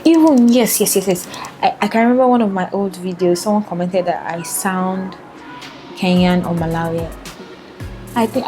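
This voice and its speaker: Chatty Nigerian woman, music behind. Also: medium pitch. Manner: chatty, laughs often, filler-heavy. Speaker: vlogger. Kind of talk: casual talk to camera.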